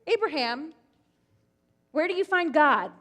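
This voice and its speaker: A woman's voice: sits high, expressive, measured pace, bright, forceful tone, pitched above the performer's own voice, heavily acted. Woman playing an earnest young princess. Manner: earnest, pleading. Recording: long hall reverb.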